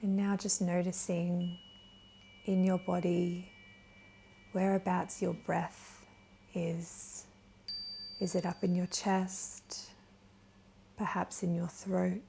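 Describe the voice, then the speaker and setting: A woman leading a guided meditation in a gentle, soothing, soft voice that is breathy at times. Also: lowish voice, flat delivery, slight background noise, rough recording. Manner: gentle, soothing, unhurried with long pauses. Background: soft chimes.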